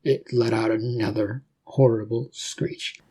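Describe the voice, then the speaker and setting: A man's voice: lowish voice, fairly even intonation, a little slow, noisy background. A man reading scripted fiction as a narrator, telling the story in a dramatic way.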